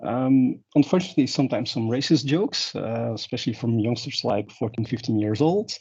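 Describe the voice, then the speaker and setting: A man's voice: mid-range voice, a little fast, vocal fry, a little mellow, husky. A man from Belgium, giving a conference talk in a rambling way with many fillers and restarts. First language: Dutch. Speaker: conference speaker. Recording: dull lo-fi sound.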